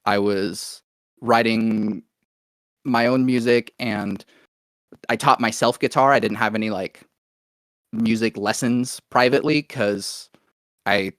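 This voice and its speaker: A man with an American accent telling his own story in a casual, rambling interview style, as if thinking out loud. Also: lowish voice, fairly even intonation, slow pace, pauses often, clear, resonant tone, no room sound. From Oklahoma, United States, born in Venezuela. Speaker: podcast guest, musician and producer.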